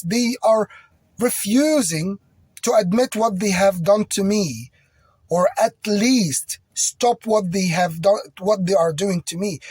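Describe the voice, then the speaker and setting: French-native man, higher-pitched and husky, rambling and agitated personal appeal. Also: dry recording.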